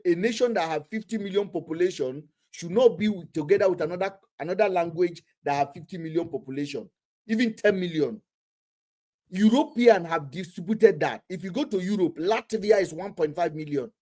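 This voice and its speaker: Emphatic Nigerian man with high voice. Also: a little fast. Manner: forceful, questioning, emphatic. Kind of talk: heated casual debate.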